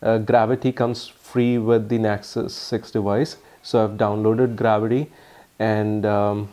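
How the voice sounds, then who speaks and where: A man with an Indian accent giving a casual, halting phone review full of ums and uhs. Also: on the low side, level tone, mellow. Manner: earnest, explanatory. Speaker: tech reviewer.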